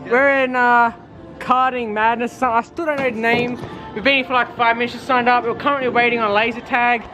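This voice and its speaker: A man's voice: very high voice, little pitch movement, brisk. A young man vlogging on location in an arcade, hyped and slangy. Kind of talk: on-location vlog intro. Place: indoor arcade. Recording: loud arcade crowd and music. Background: music and crowd.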